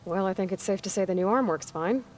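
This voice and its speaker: A woman's voice: medium pitch, fast talker, slight background noise, close to an everyday voice. A woman playing a determined, wry young hunter heroine in a video game, speaking in a matter-of-fact, dry way. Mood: friendly, direct. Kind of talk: video game cutscene acting. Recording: background music under the voice.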